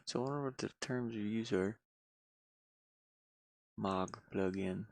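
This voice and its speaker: A man's voice: fairly low pitch, unhurried, creaky, poor audio. A man chatting casually and unscripted on a livestream, rambling and muttering to himself in folksy, colloquial speech. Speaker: livestreaming gamer and hobby game developer living in a van. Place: inside a van.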